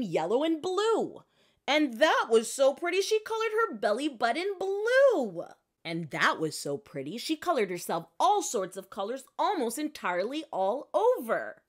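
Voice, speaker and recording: A woman reading a children's picture book aloud in an expressive narrating style. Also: a little high. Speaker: storybook reader.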